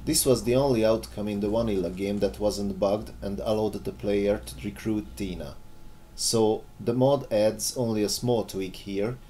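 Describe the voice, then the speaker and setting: A man's voice: on the low side, fairly even intonation, normal speed, mellow, slight background noise. A man giving scripted video narration, presenting a game mod as its maker.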